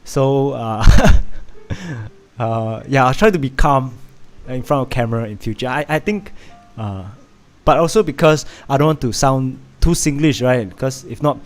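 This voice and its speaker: A man's voice: mid-range voice, a little slow. A man from Vietnam with a Singaporean English accent, hosting a casual live stream and chatting with viewers, laughing often and filling gaps with "uh" and restarts. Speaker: livestream host.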